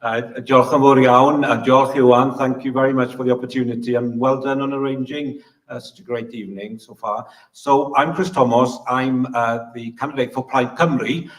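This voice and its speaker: Welsh man with a mid-pitched voice at a hustings. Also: steady tone, moderate pace. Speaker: election candidate. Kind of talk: political hustings speech. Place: live public hustings event.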